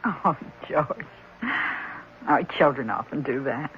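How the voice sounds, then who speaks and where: An actress playing a sympathetic, concerned wife in a 1970s radio drama, speaking gently. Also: female voice, very deep voice, takes it slowly. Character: sympathetic wife, soft-hearted about a foster child. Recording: muffled, noisy old radio broadcast.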